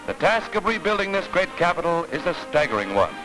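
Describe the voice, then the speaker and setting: Man, American, high-pitched voice, dramatic newsreel narration, declamatory delivery, music behind, vintage 1940s soundtrack. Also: big pitch swings, fairly quick, rough recording. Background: orchestral newsreel music.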